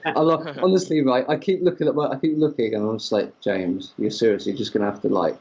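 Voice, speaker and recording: A man's voice: mid-range voice, steady tone, fast, a little mellow, a little hiss or hum. A young man co-hosting a fan podcast, rambling with enthusiasm and restarting his sentences, on a dull lo-fi mic. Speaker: podcast co-host. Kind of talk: casual chat between fans.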